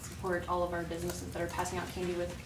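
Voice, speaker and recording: A woman's voice: fairly low pitch, racing. A woman giving a friendly, informal report at a public meeting, with frequent ums. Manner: friendly, many ums, laughs easily. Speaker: local business organization representative. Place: council chamber. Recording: echoey chamber, distant mic, steady background noise.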